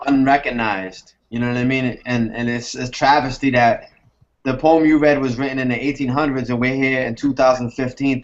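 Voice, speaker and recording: A man taking part in a casual online poetry discussion. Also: medium pitch. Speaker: open mic participant. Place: indoors on an online group call. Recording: dull lo-fi online call audio.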